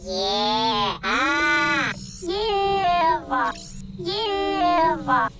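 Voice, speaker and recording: Casting: tiny curious cartoon robot, high synthetic voice, halting single words over noisy soundtrack. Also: expressive, full character voice. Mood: curious, pleading. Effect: synthetic robot processing. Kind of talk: animated film dubbing.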